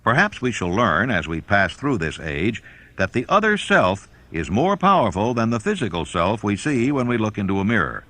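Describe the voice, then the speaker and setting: A man reading a self-help audiobook as narrator, in a measured, instructional manner. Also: on the low side, very animated, pushed and bright.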